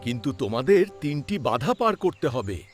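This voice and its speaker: A man's voice: medium pitch, sing-song, moderate pace, soft background music, lightly acted. A man voicing a stern guardian who sets challenges in a children's fairy-tale cartoon.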